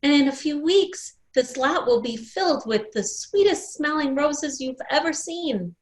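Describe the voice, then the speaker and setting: A woman voicing an earnest, proud, excited little girl in a storybook. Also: high-pitched, unhurried, voice pushed up. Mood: eager, confident. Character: eager, proud little girl who loves roses.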